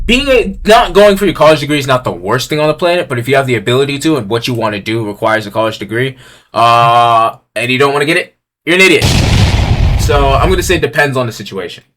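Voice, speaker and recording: A young man giving casual commentary as he ranks items on a tier list. He talks in a crude, blunt, slangy way, reading each item aloud and then riffing on it. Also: a little hiss or hum. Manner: swings from low mutters to loud yelling.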